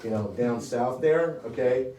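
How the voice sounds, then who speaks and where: A man's voice: medium pitch, measured pace, mellow, distant mic. A man leading a live sales coaching seminar in a meeting room, chatty and joking with the audience, often checking in with "okay?". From United States. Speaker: real estate sales trainer. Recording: echoey room, recorded from afar, faint hiss.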